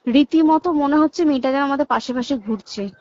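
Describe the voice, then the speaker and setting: Woman, high voice, Kolkata Bengali accent. Insistent, confiding explainer who keeps checking she's understood. Muffled, low-fidelity call sound. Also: brisk, darkish tone, dry recording. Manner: explanatory, insistent, often checking the listener follows. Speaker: hospital worker. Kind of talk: casual private conversation, recounting events.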